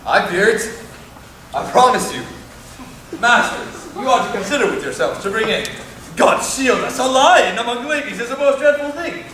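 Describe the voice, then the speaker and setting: Fretful, high-pitched amateur player acted by a man, echoey. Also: huge pitch swings, fast, a bit bright, heavily acted. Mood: alarmed. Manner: anxious, emphatic. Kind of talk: theatrical Shakespearean stage acting. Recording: echoey room, distant mic, faint noise.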